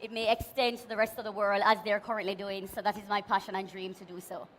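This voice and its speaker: A woman's voice: mid-range voice, level tone, fast, fairly bright tone, a little hiss or hum. A Jamaican woman giving a passionate motivational talk at a conference, with a big voice, leading the audience in call-and-response. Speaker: conference speaker. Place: large conference hall stage.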